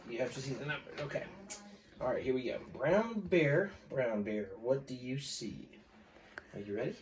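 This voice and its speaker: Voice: mid-range voice, lively intonation, normal speed, a little hiss or hum. An adult reading a picture book aloud to a young child, playful and sing-song, with exaggerated delivery. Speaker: parent-like storybook reader leading a child.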